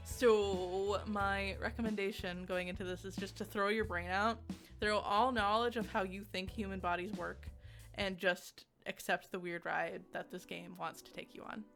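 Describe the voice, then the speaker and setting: A woman with a bright, forward voice reviews games in a loose, conversational style. Her delivery is emphatic and informal, with a giggly streak, at an everyday female pitch. Soft music plays behind her. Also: flat delivery, moderate pace, creaky. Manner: giggly, often laughing as she talks. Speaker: video game reviewer. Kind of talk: casual conversational game review.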